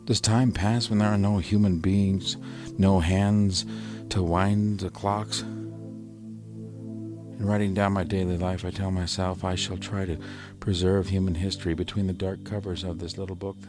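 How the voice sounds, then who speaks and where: A haunted survivor, a learned professor worn down by disaster. His very low voice is grave and brooding, halting as he narrates in theatrical 1930s radio style. Music plays clearly behind him. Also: little pitch movement. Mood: anguished, bewildered. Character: exhausted, haunted male astronomy professor surviving an alien invasion.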